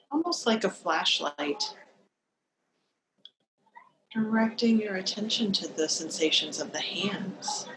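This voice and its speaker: A woman leading a guided meditation as a teacher, speaking in a calm, gentle, unhurried manner with long pauses, over a video call. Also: on the low side. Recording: noisy live video-call recording.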